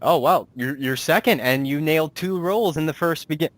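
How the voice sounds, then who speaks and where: A man's voice: a little high, a little fast, fairly bright tone, no echo. A man hosting a casual, chatty interview in an enthusiastic, reactive manner. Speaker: interviewer, host.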